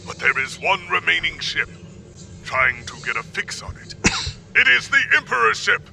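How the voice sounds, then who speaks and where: An actor playing an urgent, loyal Klingon bridge officer in a video game, reporting dramatically over faint ship noise. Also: male voice, fairly high pitch, big pitch swings, normal speed, vocal fry, heavily acted.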